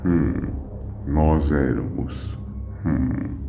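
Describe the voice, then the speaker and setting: Gruff alien boss in an audio drama, super deep, hums and grunts, echoey filtered voice. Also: male voice, drawn-out words, phone-quality sound, noisy background, heavily acted. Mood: stern, wary. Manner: grunting hums between short, haughty phrases. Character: gruff alien warrior leader, male, proud, wary.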